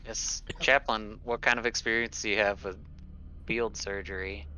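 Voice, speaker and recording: Bright, forceful low-pitched male player. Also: fairly even intonation, moderate pace, rough recording. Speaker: tabletop game player. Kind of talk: casual in-game talk.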